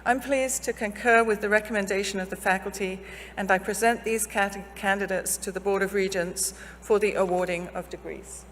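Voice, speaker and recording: A woman's voice: medium pitch, fairly even intonation, normal speed, fairly bright tone. Woman presiding in a reverberant hall. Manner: formal, scripted. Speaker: university official presiding over commencement. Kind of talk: formal ceremony presentation. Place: large ceremony hall. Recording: long hall reverb, faint background noise.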